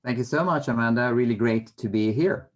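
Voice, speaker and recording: A middle-aged man from Sweden with a Swedish accent, giving measured, hesitant explanations as a guest in an interview. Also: medium pitch. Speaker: interview guest, fund manager. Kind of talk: conversational interview answers.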